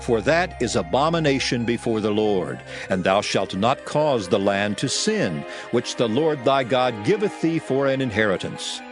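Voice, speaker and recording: A man's voice: medium pitch, animated, moderate pace, few pauses, lightly acted. A man playing a solemn prophet and lawgiver, declaiming commandments in archaic phrasing for a dramatized audio Bible. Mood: solemn, commanding. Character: Moses, solemn male lawgiver addressing his people. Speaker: scripture reader in an audio Bible. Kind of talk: dramatized scripture reading. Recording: music under the voice. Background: music.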